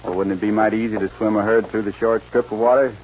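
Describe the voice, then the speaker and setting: Actor playing a bold cowboy, muffled old radio sound. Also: male voice, on the low side, fairly even intonation, quick pace, natural voice. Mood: bold, determined. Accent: American. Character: bold, resolute young cowboy ranch hand. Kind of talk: 1940s radio western acting. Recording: muffled, hissy 1940s radio recording.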